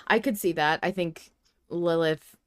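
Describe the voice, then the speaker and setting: A woman with a smooth, slightly low voice hosts a podcast in a casual, conversational style. Her sound is clear and dry, with very little room around it. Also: measured pace, dry recording. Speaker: podcast host. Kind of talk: casual podcast discussion of a story.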